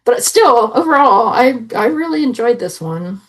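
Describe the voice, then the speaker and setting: A woman's voice: a little high, expressive, moderate pace, poor audio. A woman giving her opinions as a panelist in a casual review chat. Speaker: podcast panelist reviewing audio drama.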